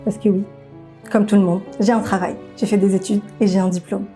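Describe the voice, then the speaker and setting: A woman's voice: mid-range voice, flat delivery, fairly quick, warm tone, soft background music. A woman delivering a firm, scripted monologue for a campaign film.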